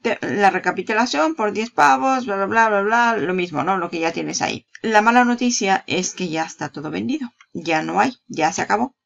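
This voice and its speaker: A woman's voice: medium pitch, expressive, moderate pace, vocal fry, few pauses, muffled recording. A woman giving a casual, chatty walkthrough of a product page, talking straight to the viewer and laughing at herself. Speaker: marketing YouTuber.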